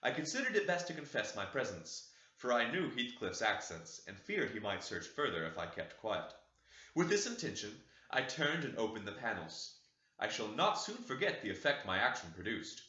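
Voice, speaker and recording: A man reading a classic novel aloud with dramatic expression and a lively, swinging pitch. His tone is bright and sharp. The room is echoey and the sound a bit muffled. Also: moderate pace. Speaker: audiobook narrator. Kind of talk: scripted narration of a classic novel.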